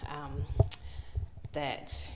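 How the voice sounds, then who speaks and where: An Australian woman, a guest artist, talking candidly in an interview, with many pauses, fillers and restarts. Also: big-room sound, very noisy. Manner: hesitant, lots of fillers and restarts.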